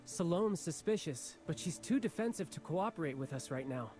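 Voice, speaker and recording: An actor playing a level-headed young male adventurer who speaks in a calm, measured way. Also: a little high, soft background music, lightly acted.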